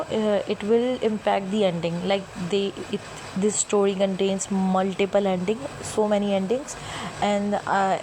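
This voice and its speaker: A woman whose first language is Urdu reads an interactive story aloud in English, halting and often correcting herself. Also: medium pitch, noisy background. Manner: halting, self-correcting reading in non-native English. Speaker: YouTuber reading an interactive story.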